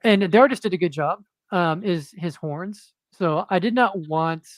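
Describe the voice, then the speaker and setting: A man speaking as a guest comic book creator in a casual interview, monotone and hesitant, with many fillers and restarts. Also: sits high, moderate pace, no echo.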